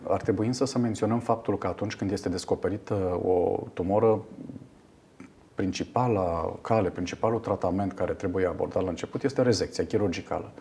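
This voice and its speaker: A man in his fifties, a radiologist guest in a TV studio interview, explaining a procedure in a measured way with frequent fillers. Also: low-pitched, a little mellow, husky. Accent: Romanian. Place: television studio.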